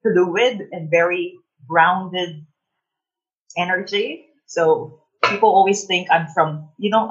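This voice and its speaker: A woman from the Philippines, a Tagalog native speaking English as a business coach guest, animated and giggly. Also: fairly low pitch, muffled recording. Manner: animated, giggly, self-assured. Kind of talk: casual interview.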